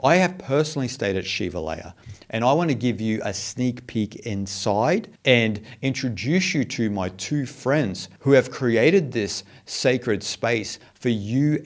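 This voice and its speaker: A man hosting a documentary interview in a casual, chatty way, with plenty of fillers like "like" and "you know". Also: lowish voice. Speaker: documentary host.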